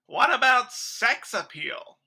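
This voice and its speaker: Woman as brash, bright, high-voiced male designer. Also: sing-song, slow pace, gravelly, breathy edge, full character voice. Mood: blunt. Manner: blunt, suggestive, salesman-like. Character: brash, eager male monument designer Lenny. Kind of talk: old-time radio sketch comedy parody.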